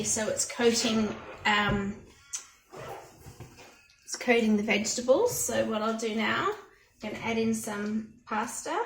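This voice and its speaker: A hoarse woman chatting through a cooking demonstration in her home kitchen, explaining each step plainly. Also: on the high side, a little hiss or hum. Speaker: home cooking channel host. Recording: roomy kitchen audio from a distance.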